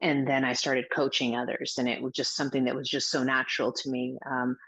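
A middle-aged woman from the Azores talking as an interview guest, reflective and halting with many restarts. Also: very deep voice, flat delivery, a bit bright, slightly rough. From Azores, Portugal. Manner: reflective, with frequent restarts and fillers. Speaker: guest, spiritual teacher and coach. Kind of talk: interview answers. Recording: dull lo-fi sound.

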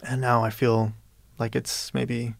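A man's voice: mid-range voice, level tone, takes it slowly, vocal fry, dry recording. A man from Peru talking as a writer in an interview, thoughtful and searching, often restarting and adding "you know". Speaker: guest writer. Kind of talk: reflective interview conversation.

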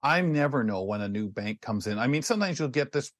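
Male radio co-host, Canadian accent, mid-pitched, casual and conversational, very dry sound. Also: lively intonation, brisk, fairly bright tone, dry recording.

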